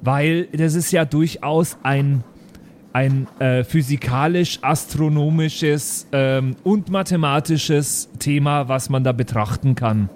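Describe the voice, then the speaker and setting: Man playing a hesitant, higher-voiced schoolteacher. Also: slow pace, a little mellow. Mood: explaining, hesitant. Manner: hesitant, explanatory, questioning. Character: improvising male schoolteacher, patient but muddled. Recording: faint background noise.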